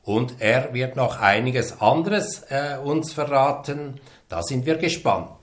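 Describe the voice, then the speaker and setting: A Swiss man hosts a podcast, speaking slowly and in a friendly tone. His voice sits at a mid pitch. He asks his guest questions with calm pauses. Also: roomy sound. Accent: Swiss German. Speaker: podcast host. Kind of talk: podcast interview.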